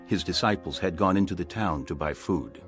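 A man narrating scripture from a script in a measured storytelling manner. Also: low-pitched, soft background music.

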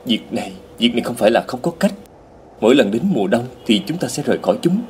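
A man's voice: medium pitch, expressive, quick pace, darkish tone, a little hiss or hum, natural voice. A man playing an earnest, flustered husband in a dubbed cartoon, hesitant and pleading. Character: earnest, flustered husband devoted to saving animals. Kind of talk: dubbed animation acting.

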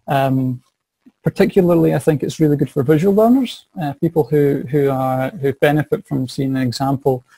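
A man's voice: medium pitch, creaky, warm tone, breathy edge, no room sound, low-quality recording. A young Scottish man, a science and maths teacher, answering interview questions over a video call from a small office, eager and repeating words as he thinks. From Scotland. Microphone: video call webcam.

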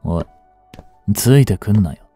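Brash young male delinquent played by a man for audio drama: dark, mellow tone, swinging intonation, defiant and teasing. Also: fairly low pitch, expressive, moderate pace, breathy edge, faint music behind. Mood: irritated, gruff. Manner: brash, slangy tough-guy talk, drawling. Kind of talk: Japanese audio drama acting, one-sided dialogue to the listener.

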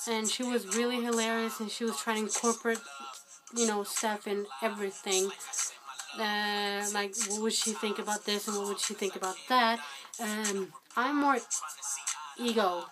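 A woman's voice: medium pitch, fairly even intonation, moderate pace, over music. Rambling self-deprecating young woman vlogging. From Albania. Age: 20s. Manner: rambling, self-deprecating, many fillers and restarts. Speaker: guest vlogger. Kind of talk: unscripted rambling vlog. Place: small dim room indoors. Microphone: webcam at close range.

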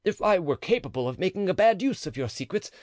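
A man's voice: high voice, big pitch swings, fast, darkish tone, no room sound, clean studio sound, pitched above the performer's own voice. A man reading the part of a desperate, anguished nobleman who pleads emotionally. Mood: pleading. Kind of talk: audiobook character reading.

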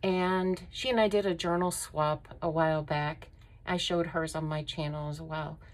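A woman vlogging a casual craft haul at her table, unhurried and admiring, with frequent ums. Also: low-pitched, steady tone. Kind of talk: casual show-and-tell haul. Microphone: overhead camera on a tabletop.

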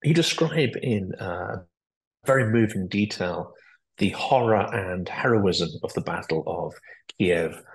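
A middle-aged man hosts an interview over a video call. His voice is low and gravelly, with a lot of fry. He asks long questions in a measured, articulate way. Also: expressive, low-quality recording. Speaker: podcast host. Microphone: video call webcam.

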